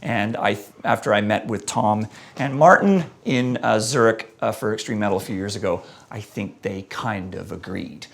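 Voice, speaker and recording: A man hosting a live-stream debate show, reading out viewer comments in an energetic, joking way, his voice a little hoarse. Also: lowish voice, normal speed, hardly any pauses. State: voice going a bit hoarse. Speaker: host.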